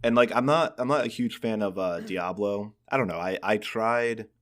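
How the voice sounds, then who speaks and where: A man's voice: on the low side, normal speed, a bit bright. A man co-hosting a podcast, chatting casually about games and often repeating words as he works out his opinions. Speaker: podcast co-host.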